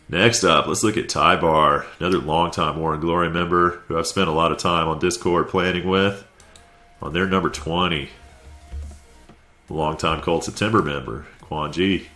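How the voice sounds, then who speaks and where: Stuffy very deep-voiced game commentator. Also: male voice, fairly even intonation, normal speed, bright, forceful tone, soft background music. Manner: laid-back, conversational, with light chuckles. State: stuffy from a head cold. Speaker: clan war recap commentator. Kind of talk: casual video game play-by-play voice-over.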